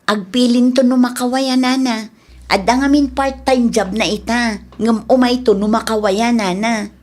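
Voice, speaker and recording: Woman playing a high-voiced young student boarder. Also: steady tone, a little slow, a little mellow. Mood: polite. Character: young female student boarder, polite but thrifty. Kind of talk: Ilocano radio comedy drama acting.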